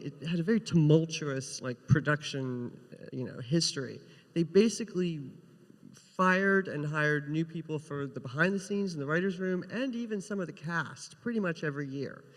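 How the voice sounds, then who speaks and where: A woman's voice: sits low, normal speed. Female TV writer, panel anecdotes, long hall reverb. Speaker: television writer, panel guest.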